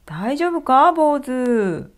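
A woman voicing a gruff, jolly father thunder spirit in a storybook reading, speaking in a hearty, friendly way. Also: high voice, big pitch swings, extremely slow, full character voice. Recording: faint music behind.

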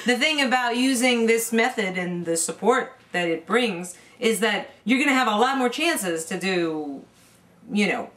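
A woman's voice: fairly high pitch, expressive, unhurried, fairly bright tone. A middle-aged woman from New York, a vocal coach, explaining singing technique in a casual interview indoors, earnestly and with frequent restarts. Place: indoor home room lined with CD shelves.

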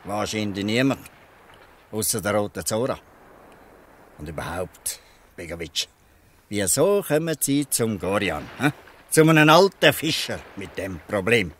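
A man playing a shrewd, gruff old fisherman in a Swiss German dialect radio play, questioning people with pointed irony. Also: lowish voice, animated, a little slow, pauses often, a bit bright. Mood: reproachful, pointed. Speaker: actor in an audio drama.

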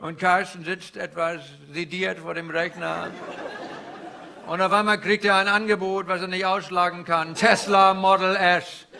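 A man playing a cheery, flattering Indian finance guru with an Indian accent, in theatrical character stand-up that repeats phrases and banters with the audience. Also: high voice, a little slow, creaky, exaggerated voice. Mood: teasing, sly. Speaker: stand-up comedian. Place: indoor live venue before an audience. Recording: live stage with noisy audience. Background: audience laughter and crowd ambience.

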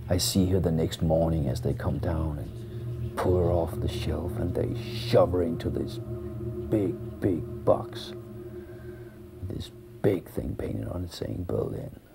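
Deep creaky-voiced man, slow hushed whispered storytelling. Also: poor audio. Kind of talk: whispered first-person storytelling.

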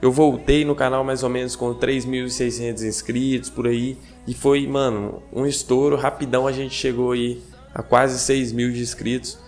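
A young man hosting a gaming channel, talking casually to his viewers with a hoarse, stuffed-up nasal voice from a cold, slangy and chatty, often checking in with them. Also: medium pitch, little pitch movement, normal speed, darkish tone. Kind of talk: casual vlog talk to viewers. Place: home gaming setup.

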